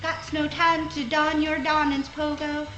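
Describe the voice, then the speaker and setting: A woman's voice: high-pitched, level tone, unhurried, lo-fi sound, music in the background. A woman voicing a tiny, earnest, officious bug with folksy swamp dialect in a live radio play. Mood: earnest urgency. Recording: roomy live stage, quieter than others.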